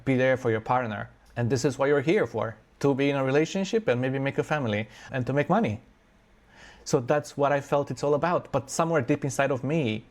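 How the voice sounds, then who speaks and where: A man, telling his own life story in an earnest, unhurried, reflective way with thoughtful pauses. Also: medium pitch. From Romania.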